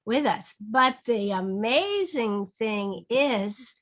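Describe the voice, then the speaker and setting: A woman teaching through an interactive children's read-aloud, modeling phrases slowly and asking prompting questions. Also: on the high side, expressive. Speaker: reading teacher. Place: online video session.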